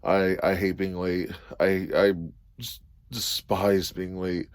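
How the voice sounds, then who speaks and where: Low-voiced man rambling casually in a van. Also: level tone, slow pace, smooth, no echo. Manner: rambling and easygoing, many ums and you-knows. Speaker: van expediting driver vlogger. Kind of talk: casual talking-head vlog. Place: inside a cargo van.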